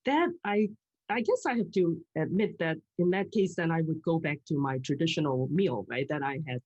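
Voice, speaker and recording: A woman's voice: sits low, animated, moderate pace, darkish tone, husky, highs cut off. A middle-aged woman from Hong Kong, a guest in casual chat, talking freely with frequent laughs and restarts. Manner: chatty, laughs often, many restarts.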